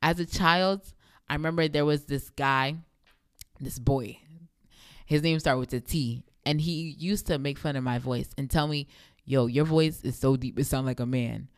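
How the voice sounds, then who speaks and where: A young woman with a deep voice hosting a devotional podcast, speaking emphatically and repeating phrases for effect. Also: normal speed, no echo, studio quality. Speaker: podcast host.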